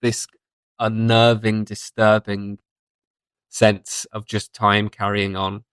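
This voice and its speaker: A man's voice: fairly low pitch, level tone, takes it slowly, fairly bright tone, clear, resonant tone, no echo. A man in his thirties chatting casually and giving his opinions as a podcast guest. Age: 30s.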